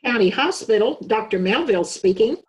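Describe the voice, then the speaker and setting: A woman's voice: on the high side, animated, a little fast, lightly acted, rough recording. A woman playing a concerned, questioning county hospital doctor in an amateur readers theater reading.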